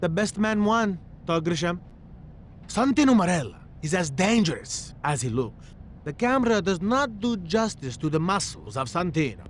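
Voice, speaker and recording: An actor playing a vain, boastful comic wrestler with a pompous manner in a game cutscene. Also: male voice, high voice, big pitch swings, normal speed, slight background noise. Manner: pompous, refers to himself in third person. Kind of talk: video game cutscene interview.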